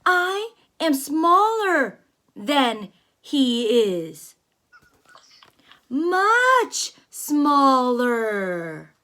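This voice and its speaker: A woman voicing a worried, cautious male elephant in a children's picture book read-aloud, speaking slowly and deliberately. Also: high voice, very animated, pushed and bright, full character voice.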